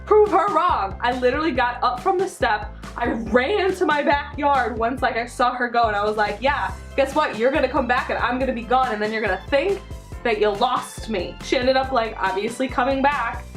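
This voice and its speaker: A young woman with an American accent chats like a vlogger sharing personal stories. Her voice sits fairly high and her delivery is casual and emphatic, strewn with "like". Soft music runs behind her. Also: normal speed. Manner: animated, emphatic, peppered with "like". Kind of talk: casual, chatty personal storytelling.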